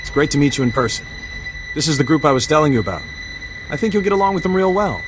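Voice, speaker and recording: An actor playing a friendly, upbeat young rebel in a video game, chatty and eager. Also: male voice, fairly high pitch, lively intonation, fast, music in the background, close to an everyday voice, rough recording. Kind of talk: scripted video game dialogue.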